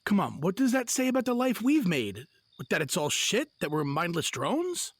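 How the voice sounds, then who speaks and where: Weary husband and father acted by a man, audio drama, husky, creaky, slightly high voice, halting, sincere. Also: sing-song, dry recording, heavily acted. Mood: defensive. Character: Colin, weary self-doubting husband and father.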